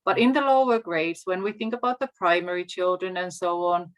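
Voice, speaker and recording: A woman from Finland with a Finnish accent, a native Finnish speaker, talking as a guest teacher on a video call in a measured, encouraging way. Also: fairly low pitch, steady tone. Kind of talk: casual video call talk.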